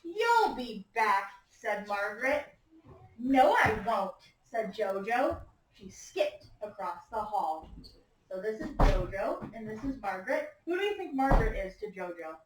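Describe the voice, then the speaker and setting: Higher-voiced woman teacher, echoey distant sound. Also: sing-song, unhurried, a little hiss or hum. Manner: patient, prompting with questions and gentle instructions. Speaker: teacher reading aloud to young children. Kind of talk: interactive picture-book read-aloud to a class. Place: echoey indoor room with young children. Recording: echoey room, distant microphone.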